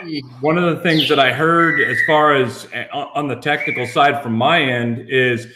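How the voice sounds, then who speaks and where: A man in his fifties with a mid-pitched voice talks casually as a guest on a podcast. His delivery is deadpan and wry. Clear background noise and clicks sit on the recording. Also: normal speed. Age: 50s. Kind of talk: casual chat about own work. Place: home or personal recording booth. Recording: noisy booth recording with clicks.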